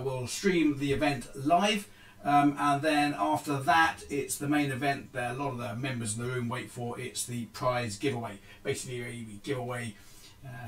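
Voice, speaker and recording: A middle-aged man hosts a live forex trading stream, explaining the markets in a halting way with many ums and restarts. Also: medium pitch, fairly bright tone, poor audio. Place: small indoor room.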